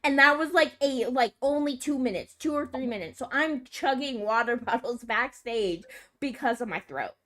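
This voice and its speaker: High-voiced young woman rambling. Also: moderate pace, fairly bright tone. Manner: rambling, hesitant, many fillers. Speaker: streamer. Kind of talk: casual chat.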